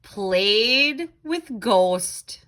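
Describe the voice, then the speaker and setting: A woman's voice: medium pitch, lively intonation, extremely slow, a bit bright. A woman tutor reading to children in a sing-song storytelling style, with chatty asides. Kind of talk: expressive read-aloud and craft lesson for children.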